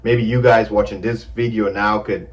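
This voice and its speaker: A man with a Caribbean accent, co-hosting a casual chat, loud and teasing, laughing often. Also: on the low side, level tone, moderate pace, far from the mic. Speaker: co-host.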